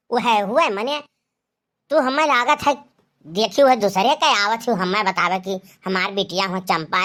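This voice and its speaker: A woman's voice: mid-range voice, a bit bright, natural voice. A woman voicing a protective, scolding Awadhi-speaking village mother in a cartoon comedy, with a blunt, grumbling way of talking.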